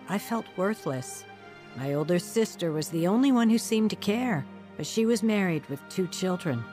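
An actress playing an emotional young woman in a radio drama, expressive and earnest. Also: fairly low pitch, natural voice. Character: reckless, emotional nineteen-year-old woman telling her story. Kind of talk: radio drama acting and first-person narration.

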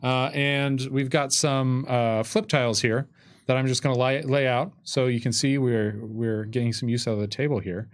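A man's voice: mid-range voice, fairly even intonation, normal speed. A man in his thirties casually explaining tabletop game rules in a hesitant, loosely phrased way. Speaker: game designer and co-host. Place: indoor studio with curtain backdrop. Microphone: microphone on desk stand.

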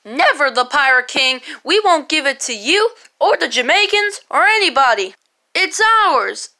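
Woman doing little puppet pirate captain, super high sharp voice, all excited, homemade play. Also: unhurried, full character voice. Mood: defiant. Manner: eager, exclamatory.

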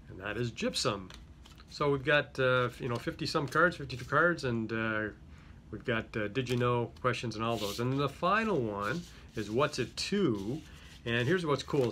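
A man's voice: mid-range voice, a bit bright, a little hiss or hum. A middle-aged man with a slight Greek accent, unboxing and explaining a card game in a chatty way, full of fillers and restarts. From Greek-speaking home. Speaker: company head presenting a product. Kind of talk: casual product unboxing. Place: small office with a yellow wall.

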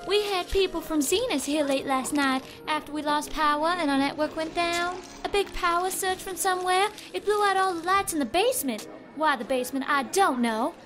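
Very high-pitched female clerk. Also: moderate pace, few pauses, fairly bright tone, faint music behind. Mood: chatty. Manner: chatty, polite. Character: polite, chatty, fretful female theater clerk. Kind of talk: science fiction audio drama acting.

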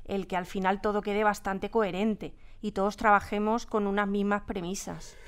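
A woman's voice: medium pitch, flat delivery, normal speed, smooth. A woman with an accent from Spain, explaining creative work casually and emphatically, with illustrative examples. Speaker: audio drama creator.

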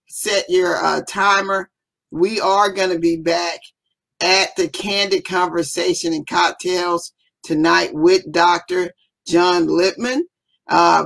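A woman's voice: fairly low pitch, gravelly, fairly bright tone. A woman hosting a live online summit over a video call, chatty and enthusiastic, calling viewers y'all. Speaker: summit host. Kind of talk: live interview. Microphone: video call webcam.

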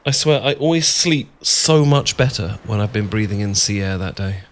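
A man's voice: on the low side, animated, moderate pace, close to an everyday voice. A British man playing an adorkable, bashful young friend in an ASMR boyfriend roleplay, hesitant, stammering and rambling. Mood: relaxed, chatty. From Britain. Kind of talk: ASMR boyfriend roleplay, improvised-sounding casual chat. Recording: clear background noise with ambience.

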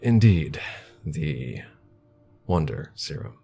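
A man playing an arrogant corporate scientist in an audio drama, speaking formally, dismissively and with deliberate care. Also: extremely low pitch, sing-song, creaky, warm tone, heavily acted. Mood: self-assured. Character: arrogant, measured corporate scientist heading a bioengineering lab. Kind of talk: fiction podcast acting as interviewee.

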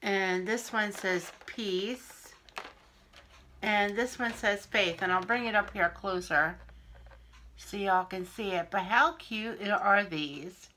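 A middle-aged woman from Pennsylvania, a little wheezy and often clearing her throat, chatting through a craft haul on camera in her home kitchen. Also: mid-range voice, sharp tone, a little hiss or hum. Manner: chatty, unhurried, friendly show-and-tell. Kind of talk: casual haul video. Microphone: camera in front at arm's length.

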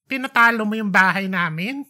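A woman's voice: mid-range voice, moderate pace, pushed and bright, lightly acted. A woman voicing an easygoing, beer-loving husband and father in an audiobook. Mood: exasperated. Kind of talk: audiobook character reading.

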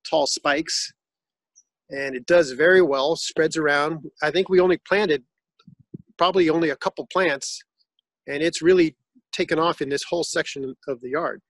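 A man giving a casual tour of his backyard garden, explaining plants in a relaxed, informative way with some fillers. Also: on the high side, normal speed, dry recording.

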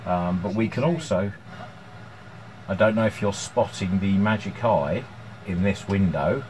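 Casting: man, middle-aged, deep pitch, hesitant hobbyist demonstrating at his bench, some background noise. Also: unhurried, darkish tone, rough recording. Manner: hesitant, unscripted, thinking aloud. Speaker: electronics hobbyist presenting his repair. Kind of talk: informal workbench demonstration. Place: small cluttered indoor workshop at home. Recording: clear background noise, room ambience.

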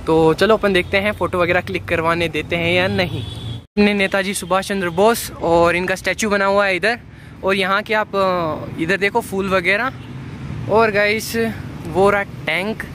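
A man's voice: high voice, fairly even intonation, measured pace, a bit bright, a little hiss or hum. A young man casually narrating a walking travel vlog through city streets and a market, talking to his viewers and often calling them "guys". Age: young adult. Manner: chatty, repeats "guys" and "chalo" often. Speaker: travel vlogger. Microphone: handheld phone camera at arm's length. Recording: outdoor phone audio with music under it.

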